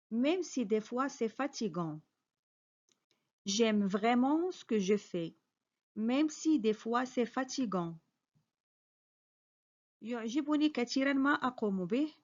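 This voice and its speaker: High-voiced teacher woman drilling phrases. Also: a little mellow, muffled recording. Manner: careful, clearly spoken model sentences, each repeated. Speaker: French teacher. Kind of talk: slow repeated phrase drills with quick translations.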